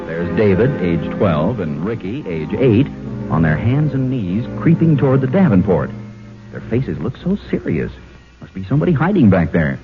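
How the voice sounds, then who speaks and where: Loud music plays behind a muffled vintage radio recording of an American man. He announces and narrates in 1940s showman style, his medium-pitched voice swinging expressively. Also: sing-song, normal speed.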